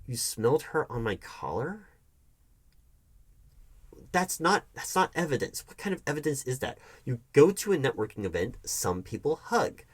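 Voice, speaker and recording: A man acts out a defensive, evasive hiring manager in an audio roleplay, arguing with his ex. His mid-pitched voice swings widely up and down. He stammers and repeats himself as he argues each point. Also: very animated. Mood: defensive, exasperated. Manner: argumentative, halting with repeated, stammered words. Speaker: roleplay performer. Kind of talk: one-sided audio roleplay acting.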